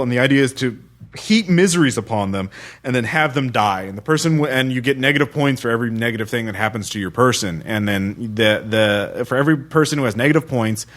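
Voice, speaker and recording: A man hosting a podcast, chatting casually about games in a rambling, halting way. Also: medium pitch, a bit bright. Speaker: podcast host.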